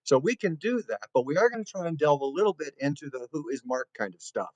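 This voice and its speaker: A man's voice: fairly high pitch, expressive, fast talker, no room sound. A man hosting an interview show, chatting in a friendly way and praising his guest. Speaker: show host. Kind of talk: casual interview chat.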